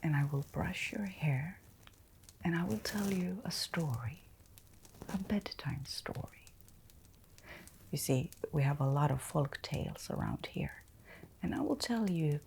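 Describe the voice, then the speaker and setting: A woman acts out a kindly forest innkeeper in a fantasy ASMR roleplay. Her soft, whispered voice is low and creaky, and she takes her time, soothing and reassuring the listener. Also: a little hiss or hum. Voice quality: soft, breathy, largely whispered. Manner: slow, gentle, caring, reassuring.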